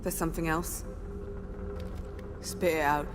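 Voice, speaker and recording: A woman's voice: deep voice, little pitch movement, measured pace. An actress playing a tough female gang leader in a video game, curt and commanding. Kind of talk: video game cutscene voice acting. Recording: game audio with music. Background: music.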